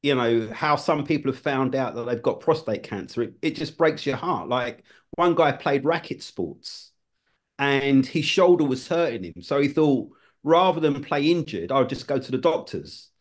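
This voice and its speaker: A man, a former television producer, talking as a guest in a relaxed conversation, often restarting his phrases. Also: medium pitch, normal speed. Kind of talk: casual conversation.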